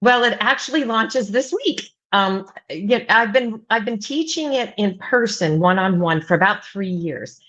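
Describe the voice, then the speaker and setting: A woman's voice: medium pitch, animated, unhurried, few pauses, a bit bright, lo-fi sound. A middle-aged woman who grew up in the United States, a writing coach talking casually as a guest, rambling with many fillers and restarts. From United States, mostly Virginia Beach. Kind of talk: casual interview talk.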